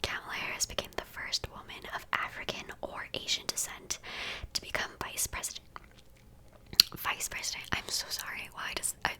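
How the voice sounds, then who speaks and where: A woman in her twenties from the United States, softly whispering through a list of facts in an ASMR video, tripping over words and adding chatty asides. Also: normal speed, poor audio. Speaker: ASMR creator.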